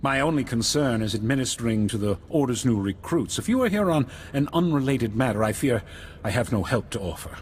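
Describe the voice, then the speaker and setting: Man acting a formal, expressive master in reverberant hall. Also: mid-range voice, a little hiss or hum, lightly acted. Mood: reserved, skeptical. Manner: formal, measured. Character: stern, reserved male master of a mystic knightly order. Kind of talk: video game character acting. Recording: long hall reverb, music behind.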